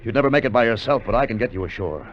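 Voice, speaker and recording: An actor playing a shrewd, unyielding seafarer, measured and coolly menacing, in a 1950 radio drama. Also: male voice, medium pitch, lively intonation, natural voice. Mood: firm, threatening. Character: calm, shrewd, unyielding seafaring partner turned accuser. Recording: muffled, noisy vintage radio recording.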